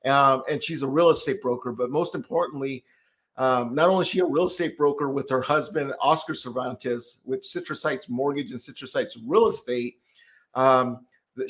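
A man's voice: medium pitch, a bit bright. A man hosting a casual podcast interview as a tax debt consultant, talking in a rambling way full of fillers and restarts.

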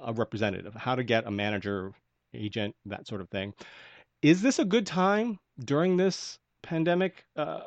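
A man's voice: fairly high pitch, no echo. A man hosting a podcast, asking loose, conversational questions with fillers. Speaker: podcast host. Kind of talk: casual podcast interview. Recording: dry, slightly dull audio.

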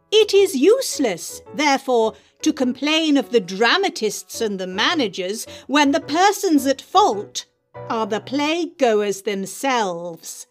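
A woman giving a scripted dramatic reading of literary prose, with theatrical, emphatic delivery and deliberate phrasing. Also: on the high side. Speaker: host and reader of dramatic readings.

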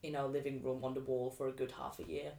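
Woman, low voice, telling her story casually, roomy echo. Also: little pitch movement, fast talker, vocal fry, dark tone. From Vienna, Austria. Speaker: interview guest, dancer. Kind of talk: casual chat, telling personal experiences. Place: living room. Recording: echoey room.

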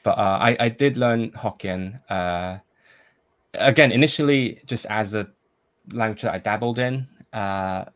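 A man whose first language is Cantonese, chatting as a podcast guest in a thoughtful, halting way with many fillers. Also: on the low side. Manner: thoughtful, hesitant with frequent fillers and restarts. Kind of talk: casual conversation.

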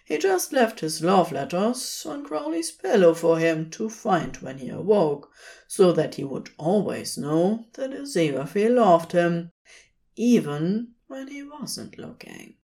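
A woman narrates a piece of fiction in a slightly low voice. Her reading is slow and expressive, with pitch that moves in a lively way from phrase to phrase. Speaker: podfic narrator.

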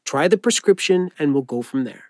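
An actor playing a kindly, careful doctor in an audio drama, measured and reassuring with a patient. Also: male voice, fairly high pitch, animated, no echo, studio quality, close to an everyday voice. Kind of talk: naturalistic audio drama acting.

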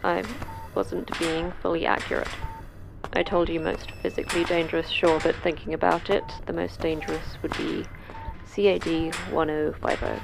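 A woman's voice: low voice, vocal fry. A woman playing the terse, stern manager of a secret monster prison in a sci-fi horror audio drama, speaking in a clipped, matter-of-fact way. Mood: grave, guarded.

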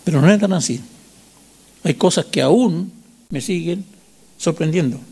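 A man in his sixties with a Chilean accent preaching a sermon, teaching didactically with emphatic repetition and short check questions, in a gravelly, husky voice. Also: fairly high pitch, measured pace, dark tone. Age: 60s. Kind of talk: sermon with Bible reading and commentary.

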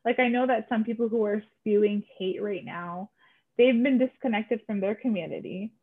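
A woman's voice: a little high, moderate pace. A woman co-hosting a podcast, speaking earnestly and with feeling, filling her talk with "like". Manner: impassioned, many "like" fillers. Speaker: podcast co-host. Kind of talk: earnest casual conversation. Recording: tinny phone-like line.

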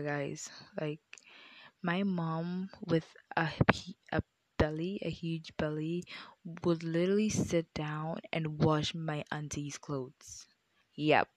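A young woman with a deep voice, telling viewers a personal story in a chatty way, often calling them guys and dropping into whispered asides. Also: no echo. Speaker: vlogger.

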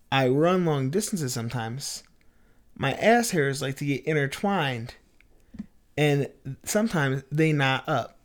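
A man's voice: a little high, a little mellow. A man co-hosting a casual podcast, a fitness trainer who talks loosely with lots of fillers and swearing. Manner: casual, profane, filler-heavy. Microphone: studio mic at the table.

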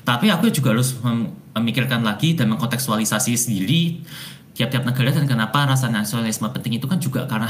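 A man's voice: medium pitch, expressive, fast talker, a little hiss or hum. A young man from Indonesia, talking reflectively as an interview guest, with many fillers and some English phrases. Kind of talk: casual interview chat.

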